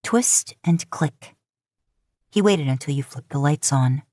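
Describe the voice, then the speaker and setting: Low-voiced woman narrating a romance story. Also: animated, takes it slowly, warm tone, dry recording. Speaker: podfic narrator. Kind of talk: scripted second-person romantic story narration.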